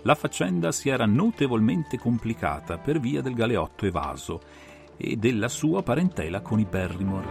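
A man playing a brilliant, self-assured detective who explains a case in a measured, didactic, theatrical way for a dramatised audiobook. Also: fairly low pitch, vocal fry, a little mellow. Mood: methodical, assured. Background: music.

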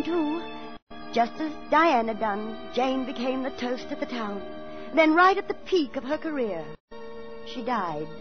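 An actress playing a prim, fussy older landlady in a 1940s radio thriller, fluttery, proper and theatrical, with a chilling sweetness. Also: sits high. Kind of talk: 1940s radio thriller acting, first-person narration. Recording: muffled 1940s radio broadcast, music behind.

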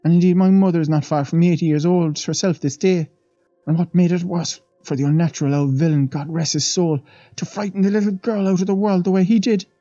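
A man's voice: high voice, fairly even intonation, a little fast, mellow, no room sound, pitched above the performer's own voice, lightly acted. A man voicing a gossiping Irish housemaid. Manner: chattering, fearful, pious asides. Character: gossiping middle-aged Irish housemaid, superstitious. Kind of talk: audiobook reading. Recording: dull lo-fi dry recording.

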